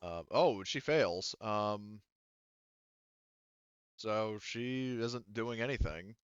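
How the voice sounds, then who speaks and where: A man's voice: fairly low pitch, extremely slow, clear, resonant tone, dry recording, highs cut off. A man narrating a tabletop roleplaying game as game master in a casual way, thinking aloud and swearing freely.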